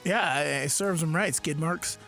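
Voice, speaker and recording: Gravelly man giving blunt sports takes. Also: on the high side, bright, forceful tone, faint music behind. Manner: halting, repeats words, blunt opinions. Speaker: fill-in radio co-host. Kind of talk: casual sports radio chat.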